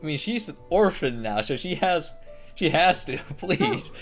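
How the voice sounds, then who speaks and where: A woman streamer comments casually on a game in a low voice. She is slow-paced and jokey, using slang, with music clearly playing behind her. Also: sing-song. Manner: joking, slangy asides.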